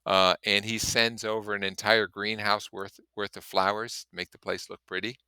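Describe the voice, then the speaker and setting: A man's voice: on the low side, little pitch movement, normal speed, bright, forceful tone, clean tone, dry recording. A man reading a story aloud in an audiobook style, with casual commentary of his own. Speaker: reader and commentator.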